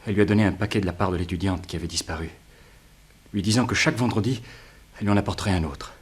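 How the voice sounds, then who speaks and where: An actor playing an anguished, naive young student who confesses earnestly, with a husky voice, in a 1960s French radio crime drama. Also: male voice, deep voice, rapid-fire. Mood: subdued recounting. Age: young adult. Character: anguished young male student, naive and guilt-ridden suspect.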